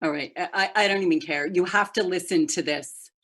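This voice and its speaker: A woman playing a frantic, entitled wealthy mother in a play performed as a video call, imperious, agitated and halting. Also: lowish voice, a bit bright. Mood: impatient. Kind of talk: one-act play monologue on a video call.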